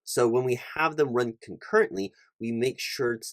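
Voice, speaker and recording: A man's voice: mid-range voice, normal speed, no echo, natural voice. A man playing an officious, polite recruiting manager in a one-sided ASMR roleplay, talking in a wordy, hesitant way and repeating himself. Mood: pedantic, explanatory. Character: officious, rambling male corporate recruiting manager, polite and awkward.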